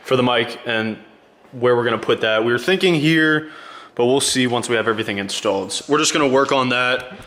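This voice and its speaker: A man's voice: medium pitch, fairly quick, pushed and bright. A man hosting a casual how-to vlog, talking in a laid-back, rambling way with lots of fillers. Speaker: channel host. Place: garage.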